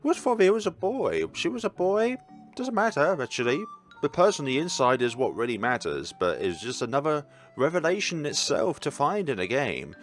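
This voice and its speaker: A man giving casual, chatty let's-play commentary, with reflective, halting asides as he reads through a game. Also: a little high, big pitch swings. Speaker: video game playthrough narrator. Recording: background game music. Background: music from the visual novel.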